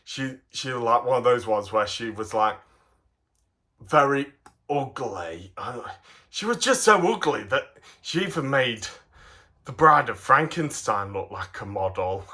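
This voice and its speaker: A man presents his hobby in a casual show-and-tell. He has a mid-pitched voice and talks with enthusiasm, rambling along and halting partway through sentences. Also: animated, sharp tone. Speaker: hobbyist presenter of custom toy figures.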